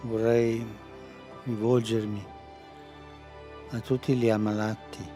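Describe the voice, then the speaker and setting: A man giving a formal public address, speaking very slowly. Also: on the low side, level tone, mellow, soft background music.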